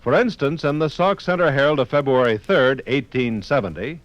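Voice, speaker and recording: An American man reading scripted narration for a 1950s radio documentary in a measured, formal manner. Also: mid-range voice, dry recording, dull audio.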